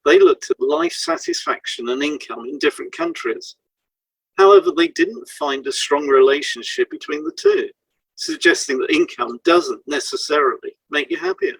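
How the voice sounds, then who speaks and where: A man co-hosts an educational podcast, reading from a script. His voice sits a little high and swings widely in pitch. It has a husky, rough edge. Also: wildly swooping pitch, moderate pace, no echo, rough recording. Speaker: podcast co-host and hypnotherapist. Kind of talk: scripted educational narration, read aloud.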